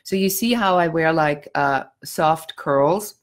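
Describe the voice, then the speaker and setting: A woman's voice: deep voice, slow. A middle-aged woman from Germany with a German accent, giving blunt, outspoken business advice in a casual talk with dry sarcasm. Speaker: business coach.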